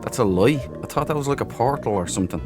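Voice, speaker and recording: A man's voice: mid-range voice, animated, fast talker. A man hosting a casual let's play, sweary and exclamatory as he talks to himself. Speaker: gaming channel host. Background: game music.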